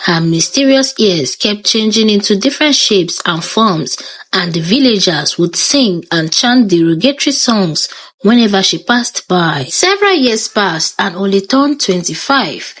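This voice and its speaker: Nigerian lady telling a folktale, super animated and dramatic, dry close recording. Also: medium pitch, a little slow, no room sound, poor audio. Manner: dramatic, expressive. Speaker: storyteller. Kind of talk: scripted folktale narration.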